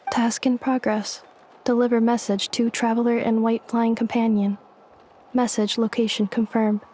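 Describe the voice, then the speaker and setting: A woman voicing a female robot messenger from a video game, speaking in flat, literal, clipped little phrases. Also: fairly high pitch, husky. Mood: flat, robotic. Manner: halting, clipped one- and two-word phrases.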